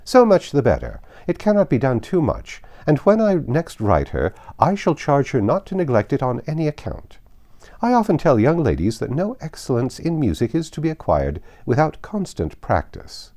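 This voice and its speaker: Man putting on an imperious haughty lady, swooping pitch. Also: huge pitch swings, normal speed, mellow. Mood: lecturing. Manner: imperious, declamatory. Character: haughty, overbearing aristocratic older lady, conceited and meddling. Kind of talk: classic novel read aloud.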